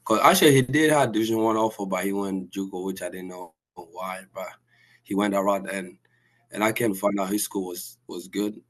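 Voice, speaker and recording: A young man from Sudan, a college basketball player, answering interview questions softly, with halting restarts and fillers. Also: sits low. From South Sudan. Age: young adult. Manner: soft-spoken, halting, with many restarts and fillers.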